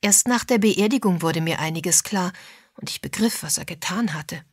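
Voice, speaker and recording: Woman narrator doing an embarrassed unhappy wife, confessional and earnest, bit husky, audiobook. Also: on the low side, moderate pace, no echo, studio quality. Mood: rueful, confiding.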